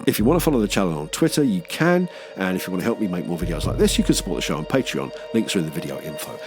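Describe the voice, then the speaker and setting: A man's voice: low voice, big pitch swings, rapid-fire, faint music behind. A British man hosting a film review channel, narrating a scripted video essay in an enthusiastic, wry, playfully sweary manner.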